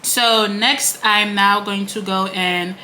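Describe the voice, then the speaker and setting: A woman's voice: mid-range voice, fairly even intonation, slow pace, pushed and bright, slight background noise, rough recording. Chatty woman in her late twenties. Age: late 20s. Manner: chatty, frequent "like" and "um" fillers. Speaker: beauty vlogger. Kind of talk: casual makeup tutorial chat. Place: home, indoors.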